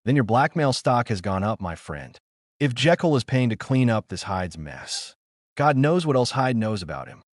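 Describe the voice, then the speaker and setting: A man voicing an uneasy gentleman in an audiobook, with a halting, emphatic manner full of distaste. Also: medium pitch, sing-song, no echo, clean studio sound, close to an everyday voice. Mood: knowing. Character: uneasy gentleman struggling to describe a repellent man.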